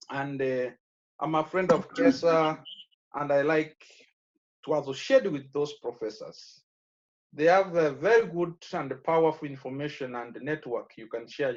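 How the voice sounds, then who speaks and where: Kenyan man rambling over a video call. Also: a little high. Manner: rambling, with many fillers. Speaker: alumnus speaking at a graduation. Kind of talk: casual advice speech on a video call. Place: his office. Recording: muffled video call audio.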